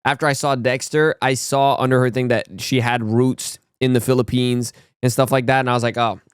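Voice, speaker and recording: A man's voice: medium pitch, level tone, fairly quick, clear, resonant tone, no echo, clean studio sound. A young man from Brazil chatting casually and rambling with slang while reacting to a video. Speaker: reaction channel host.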